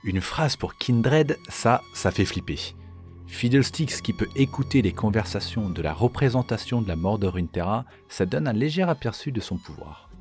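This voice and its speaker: A man's voice: fairly low pitch, animated, moderate pace, a little mellow, faint music behind. A man giving explanatory commentary as a video game lore creator.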